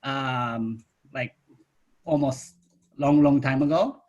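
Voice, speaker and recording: Middle-aged male, native Japanese speaker, Japanese accent in English, hesitant phrasing, informal online presenter, mid-range pitch. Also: takes it slowly, a little mellow, dull audio. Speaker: winemaker, guest speaker. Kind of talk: informal autobiographical talk on a video call. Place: online video call.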